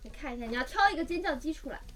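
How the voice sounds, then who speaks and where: Woman, high voice, animated, casual chat, giving directions, faint music. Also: racing.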